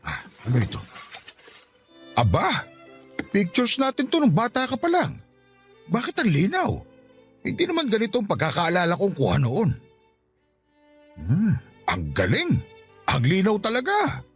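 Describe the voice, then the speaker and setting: A man's voice: high voice, huge pitch swings, a little slow, long pauses, breathy edge, faint music behind, full character voice. A man playing a doting, teasing middle-aged Filipino father and husband in a Tagalog radio drama. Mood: amused, delighted. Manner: teasing, sweet-talking.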